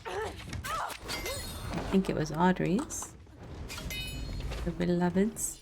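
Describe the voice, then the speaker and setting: A woman's voice: on the low side, huge pitch swings, extremely slow, vocal fry, background noise. A woman chatting casually and informally as she livestreams a video game, laughing easily. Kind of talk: casual livestream gameplay chat.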